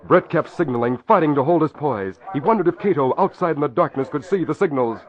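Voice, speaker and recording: A man's voice: fairly high pitch, lively intonation, quick pace, crowd noise. A man narrating as an announcer in dramatic, emphatic 1940s radio style. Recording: muffled old radio broadcast.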